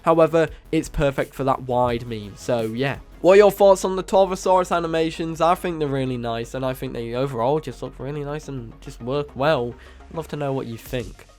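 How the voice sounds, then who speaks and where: A man with a cold, sounding hoarse and nasal, enthusiastically narrating a game mod showcase as host of his channel. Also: medium pitch, brisk, darkish tone. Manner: enthusiastic, casual, repeats praise. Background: faint music.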